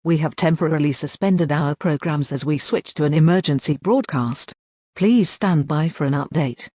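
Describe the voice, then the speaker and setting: An announcer reading a scripted emergency news bulletin in a formal, measured way. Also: mid-range voice, dark tone, dry recording, clean studio sound.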